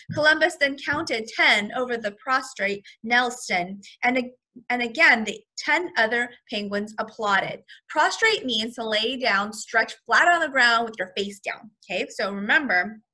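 Female elementary teacher, higher-pitched and bright, expressive storybook read-aloud with simple explanations for young kids. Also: unhurried, low-quality recording. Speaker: first grade teacher.

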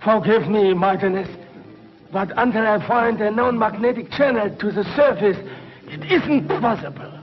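An actor playing a fawning subworld creature who serves a tyrant in a 1950s television serial. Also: male voice, high voice, normal speed, background noise, natural voice. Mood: apologetic. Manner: deferential, fawning. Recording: muffled 1950s TV soundtrack with music.

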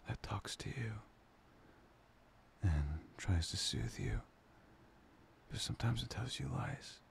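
Slow, gravelly, whispery boyfriend played by a man. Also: fairly low pitch, slight background noise, heavily acted. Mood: soothing, earnest. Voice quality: breathy, often whispery. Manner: slow, pausing, soothing. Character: soft, playful, loving boyfriend, tender and reassuring. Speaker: audio roleplay performer. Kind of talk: boyfriend ASMR roleplay, intimate half-whispered monologue. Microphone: close microphone.

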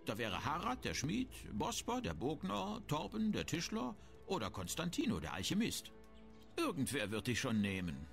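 A man's voice: fairly high pitch, wildly swooping pitch, moderate pace, vocal fry, full character voice. A man playing a shrewd, grumbling, self-important town merchant in a fantasy video game. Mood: businesslike. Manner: haggling, disdainful, self-important. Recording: music behind the voice.

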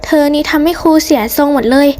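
A woman's voice: sits high, fairly even intonation, fast talker, darkish tone, lightly acted. A woman voicing an anxious, bossy female schoolteacher in a cartoon story, emphatic and insistent. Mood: flustered. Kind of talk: hobby cartoon story dubbing.

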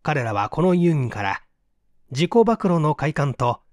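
A man reading a literary mystery story aloud as an audiobook narrator, with theatrical, expressive delivery. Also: a little high, a little slow, dry recording, studio quality. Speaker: audiobook reader.

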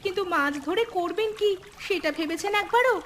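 A woman voicing a clever young village woman in a cartoon, with a bright, earnest manner. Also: very high-pitched, animated, a little fast, a little hiss or hum. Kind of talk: cartoon voice acting.

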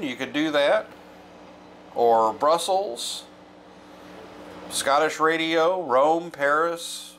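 A man's voice: on the high side, a bit bright, a little hiss or hum. A middle-aged man giving an informal, explanatory show-and-tell as a hobby channel host. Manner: casual, explanatory. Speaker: vintage electronics channel host.

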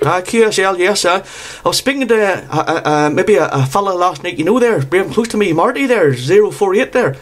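A high-pitched man chats casually over amateur radio. He talks like a hobby operator and keeps dropping "there" at the ends of his phrases. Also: expressive, a little fast, hardly any pauses. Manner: repeatedly tags phrases with "there". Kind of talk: casual radio contact chat.

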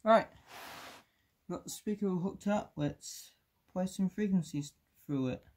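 A man's voice: high voice, measured pace, a little mellow. A man hosting a casual hands-on demo, thinking aloud in short, unscripted fragments. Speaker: video host testing speakers. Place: indoor room, seated on floor by speakers. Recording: clear background noise, clicks.

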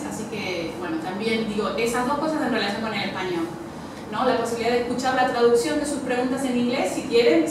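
A woman teacher explains things to her class, repeating words as she thinks. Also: fairly high pitch. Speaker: Spanish teacher. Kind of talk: classroom announcement. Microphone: far camera. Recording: echoey classroom from far off, noisy.

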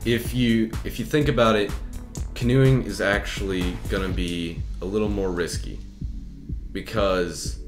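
Slow-talking young man in an echoey bedroom. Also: low-pitched. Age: young adult. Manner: relaxed, rambling, frequent fillers and restarts. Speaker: vlogger answering viewer comments. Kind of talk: casual vlog chat. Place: bedroom with shelves, indoors.

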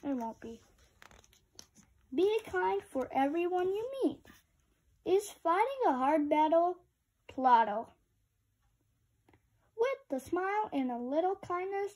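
Female read-aloud host, high pitch, slow delivery, informal and childlike in commentary. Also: a little mellow. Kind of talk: reading a picture book aloud with casual asides to children.

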